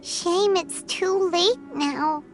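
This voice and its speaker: A woman voicing a tiny, cute and cheeky childlike fairy companion in a video game, squeaky and expressive. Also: drawn-out words, faint music behind, full character voice. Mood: wistful. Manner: expressive, chirpy. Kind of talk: video game character dialogue.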